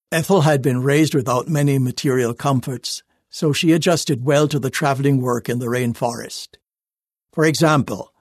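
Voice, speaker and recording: Man reading a life story, measured. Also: medium pitch, dry recording, studio quality. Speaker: reader of a magazine life story. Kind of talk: scripted first-person narration.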